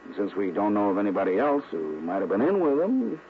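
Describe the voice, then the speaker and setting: A brash male police lieutenant with a lively, swinging delivery, friendly and ribbing. It is vintage 1960s radio drama, slightly muffled with faint noise. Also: lowish voice, fast talker. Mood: exasperated.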